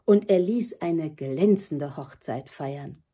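A woman's voice: low voice, slow, mellow, breathy edge. An older woman reading a fairy tale expressively for children. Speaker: storyteller. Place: room with bookshelves at home.

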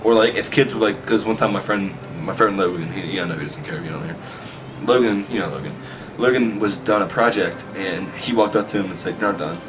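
A man's voice: low-pitched, fairly quick, background noise. A young man chatting casually in a small bedroom, telling stories in a brash, sweary way and repeating himself. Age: teenager or young adult. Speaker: vlog host telling school stories. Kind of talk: casual storytelling chat. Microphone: camera mic at a distance. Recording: distant mic with loud music.

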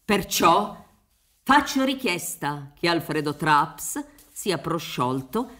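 A middle-aged woman playing a pompous defence lawyer in a radio drama, theatrical and rhetorical, pausing for emphasis. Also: fairly low pitch, sing-song, fairly bright tone, exaggerated voice. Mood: emphatic, persuasive. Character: pompous, wheedling defence lawyer pleading a client's innocence. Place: dark theatre stage, reading from script. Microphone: studio microphone with headphones.

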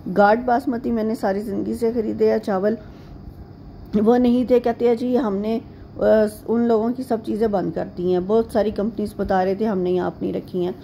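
Chatty middle-aged woman, gravelly mellow voice. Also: mid-range voice, flat delivery, slight background noise, poor audio. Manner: rambling, with frequent pauses and fillers; homely, chatty tone. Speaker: vlogger. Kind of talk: casual chatty voice-over narration.

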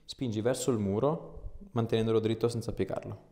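A man's voice: on the low side, fairly even intonation, brisk, mellow. A man in his thirties working as a chiropractor, giving short, informal instructions and chatting casually during a treatment. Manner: short, informal directive phrases. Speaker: chiropractor and osteopath. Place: small indoor clinic room. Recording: echoey small treatment room.

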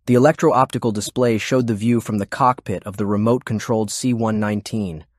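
Guy reading a dramatic audiobook, lowish voice, really dry sound no room. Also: fairly even intonation, fairly quick, no room sound. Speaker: audiobook narrator. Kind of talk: scripted dramatic narration.

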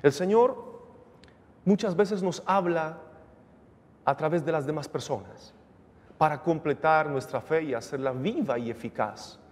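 A man in his forties, a priest giving a measured, earnest sermon at a lectern microphone in a chapel. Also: fairly high pitch. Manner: measured, earnest preaching with rising emphasis. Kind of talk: sermon at Mass. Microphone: gooseneck lectern microphone. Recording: reverberant chapel sound.

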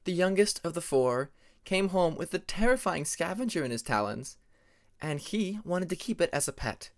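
A man voicing a friendly, gently teasing young female sea dragon in an audiobook, with a light, animated delivery. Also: a little high, normal speed, pitched above the performer's own voice. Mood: amused.

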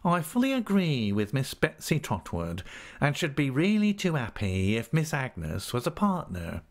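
A man's voice: mid-range voice, huge pitch swings, a little slow, voice pushed up. A man voicing a falsely humble, scheming clerk in an audiobook, meek, oily and obsequious. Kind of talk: audiobook character acting.

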